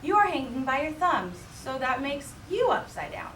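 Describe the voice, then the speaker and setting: A woman voicing a brash, loud know-it-all bat from a storybook, assertive and emphatic. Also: high voice, very animated, moderate pace. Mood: challenging. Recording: roomy, distant mic with background noise.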